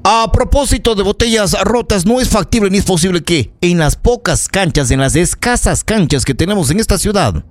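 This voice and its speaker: Ecuadorian male radio news host, highish voice with big melodic swings, emphatic and indignant, opinionated live delivery. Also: animated, moderate pace, hardly any pauses, no echo. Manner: indignant, emphatic, repeating phrases for effect. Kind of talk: live opinionated radio commentary, addressing listeners.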